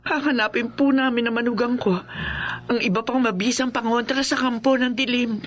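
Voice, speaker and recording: A woman's voice: a little high, fairly even intonation, moderate pace, a little hiss or hum, close to an everyday voice. Actress as earnest, protective older mother. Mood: frightened, determined. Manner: earnest, anxious. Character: protective, worried older mother of a married woman. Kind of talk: Filipino horror radio drama acting.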